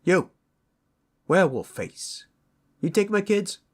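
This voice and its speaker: A man's voice: high voice, very animated, takes it slowly, heavily acted. A man playing a hot-tempered, protective beast father in a fantasy audio drama, speaking in a blunt, threatening way. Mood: furious threatening.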